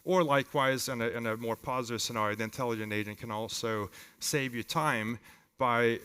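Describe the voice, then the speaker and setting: Bright-voiced Swedish-accented man presenting in a reverberant hall. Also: medium pitch, fairly even intonation, brisk, smooth. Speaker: company founder giving a conference presentation. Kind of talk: live conference talk with demos. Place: conference hall with long reverb.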